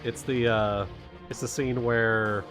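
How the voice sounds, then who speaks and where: Male player, mid-pitched, casual and sweary group banter, guitar music clearly behind him. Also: steady tone, slow pace, fairly bright tone. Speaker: player in tabletop game.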